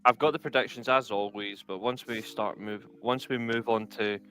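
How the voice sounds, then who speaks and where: A man's voice: fairly low pitch, steady tone, normal speed, bright, forceful tone. A tired man, co-hosting a casual live stream and rambling with lots of repeated words. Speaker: co-host.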